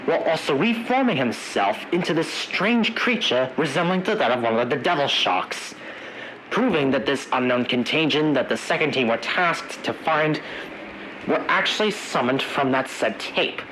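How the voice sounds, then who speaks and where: A man narrating an analog horror emergency briefing in a halting, wordy way, searching for his words. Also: fairly high pitch, lively intonation, fairly bright tone, slight background noise, low-quality recording.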